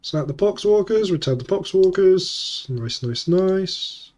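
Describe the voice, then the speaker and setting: A man with a sniffly cold and a slightly sore throat thinks aloud through an online card game. His voice sits a little higher than most men's, and he murmurs and restarts his lines as each play unfolds. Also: expressive, slow pace, a little mellow. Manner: muttering, self-correcting play-by-play. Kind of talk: thinking-aloud gameplay commentary.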